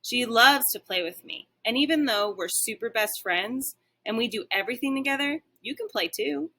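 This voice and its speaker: Woman voicing an excitable, bright-voiced little boy dog. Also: a little high, normal speed, pitched above the performer's own voice. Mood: proud, excited. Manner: excitable, chatty. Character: small eager young male dog, friendly and boastful.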